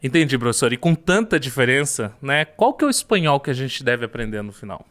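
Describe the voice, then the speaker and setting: A man's voice: mid-range voice, big pitch swings, brisk, fairly bright tone. A man hosting a casual interview chat, agreeing often and asking follow-up questions. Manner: conversational, affirming, asks follow-up questions. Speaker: host.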